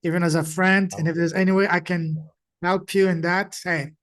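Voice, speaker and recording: A man's voice: high voice, flat delivery, normal speed. Upbeat motivational podcast host, a man. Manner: warm, encouraging, motivational. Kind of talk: interview.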